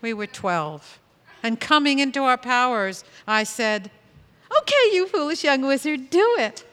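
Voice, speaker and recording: A woman playing a wry, fond, ageless sorceress who narrates a comic tale in a deliberate, dramatic manner. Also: sits high, sing-song, a bit bright, clear, resonant tone, heavily acted. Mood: fond, exasperated. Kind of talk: comic storytelling narration in audio theatre. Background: music.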